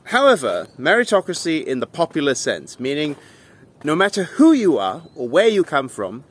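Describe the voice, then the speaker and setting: A man delivering an argumentative monologue to viewers in an emphatic, deliberate way, with rhetorical questions. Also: a little high, huge pitch swings, husky. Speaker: video essayist and English tutor.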